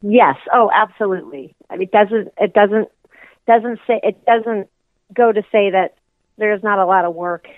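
A woman's voice: mid-range voice, normal speed, dry recording. A woman musician being interviewed, speaking reflectively and hesitantly with many restarts. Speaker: musician guest. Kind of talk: casual interview chat. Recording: tinny phone line.

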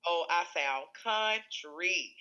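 A woman with a Chicago accent tells stories in an interview, her voice bright and forceful. She talks in an animated way, laughs often and restarts her sentences. The sound is a little dull and lo-fi. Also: on the low side, takes it slowly. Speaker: school executive director. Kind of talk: conversational interview storytelling.

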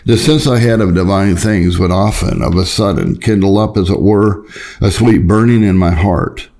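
Low-pitched male narrator, formal scripted reading, measured phrasing, devotional audiobook style. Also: darkish tone. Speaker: audiobook narrator reading historical Puritan writings.

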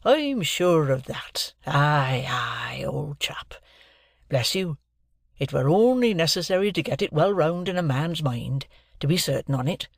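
A man voicing a kindly, slow-spoken village blacksmith with plain country speech, in a storybook reading. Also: a little high, sing-song, no room sound, clean studio sound, full character voice. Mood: gentle, homely.

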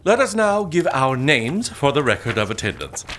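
An actor playing a self-important, zealous Puritan gentleman in a comic audio drama, formal and pompous. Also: medium pitch, sing-song, moderate pace, a little hiss or hum. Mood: proud, formal. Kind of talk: comic audio theatre acting, archaic diction.

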